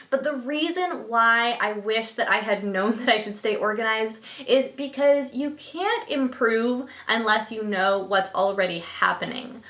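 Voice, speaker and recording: Bright-voiced young woman, slightly froggy. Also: fairly high pitch, echoey room. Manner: chatty and earnest, laughs lightly. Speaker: business YouTuber. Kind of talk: casual advice talk to camera.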